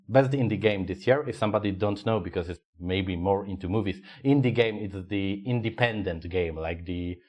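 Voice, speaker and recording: Low-voiced Polish man, halting English. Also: darkish tone. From Poland. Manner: halting, searching for words, many fillers, swears freely. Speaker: podcast co-host. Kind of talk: casual podcast chat.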